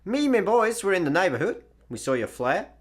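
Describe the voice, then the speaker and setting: Man playing a lively, matey space layabout. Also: high-pitched, brisk. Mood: breezy, cheerful. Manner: breezy, mock-grandiose patter. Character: chirpy, wordy, matey male intergalactic layabout. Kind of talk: sci-fi comedy radio play.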